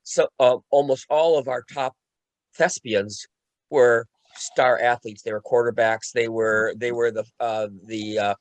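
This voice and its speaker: A man talking casually as a participant and organizer in a panel discussion on a video call, often halting and restarting his words. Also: mid-range voice, steady tone, no echo, poor audio.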